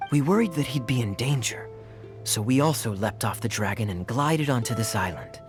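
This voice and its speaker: A suave young knight captain, voiced by a man, speaks with playful, knowing mockery. His voice moves lively up and down as he needles his companions. Faint music sits beneath the game dialogue. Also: medium pitch, normal speed, natural voice. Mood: teasing. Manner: teasing, wry and playful. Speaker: game character.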